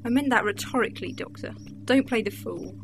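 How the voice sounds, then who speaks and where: A woman's voice: fairly high pitch, fairly quick, slight background noise, lightly acted. An actress playing a clever, sarcastic Time Lady companion in an amateur audio drama. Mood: exasperated. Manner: sharp, sarcastic, exasperated.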